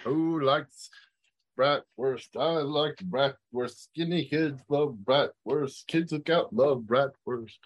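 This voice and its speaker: A man with a medium-pitched voice talks as a podcast co-host. His style is informal, everyday conversation. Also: slow pace. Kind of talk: casual chat.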